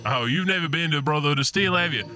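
A man playing a gruff, commanding paladin in a tabletop audio drama, curt and condescending. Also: fairly high pitch, quick pace, music in the background, close to an everyday voice. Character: gruff, no-nonsense male military paladin, commanding officer.

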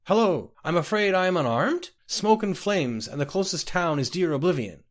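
A man's voice: lively intonation, moderate pace. A man voicing a manic little mimic creature in a storybook reading, exclaiming nonsense in an odd, tremulous voice. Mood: chattering, frantic. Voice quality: booming, oddly accented, tremulous. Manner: exclaims disjointed nonsense phrases.

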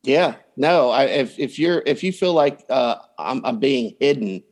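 Man, relationship coach in podcast conversation; explains by acting out everyday lines, medium pitch. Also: expressive, measured pace. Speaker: dating coach, podcast guest.

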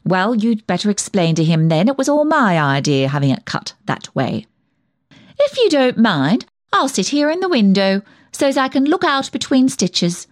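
A woman's voice: mid-range voice, dry recording, clean studio sound, natural voice. A woman performing a comic monologue as a nosy, tactless village dressmaker who chats on with prying, backhanded remarks. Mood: prying, chatty. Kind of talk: dramatic monologue reading, comic character storytelling.

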